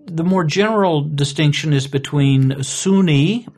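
A man's voice: on the high side, unhurried, darkish tone. A man hosting a podcast, introducing his guest formally and asking courteous, guided questions. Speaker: podcast host. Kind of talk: interview hosting.

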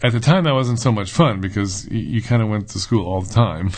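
A middle-aged man with a fairly low voice speaks as a podcast guest. He sounds thoughtful and fills his sentences with frequent "uh" and "um" pauses. He talks in a relaxed, conversational way. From United States. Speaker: guest, seminary professor.

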